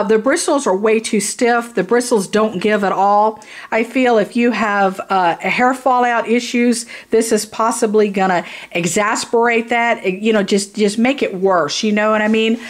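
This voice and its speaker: A woman's voice: mid-range voice, measured pace. A tired woman giving a blunt, casual product review, rambling and repeating herself for emphasis. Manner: blunt, informal.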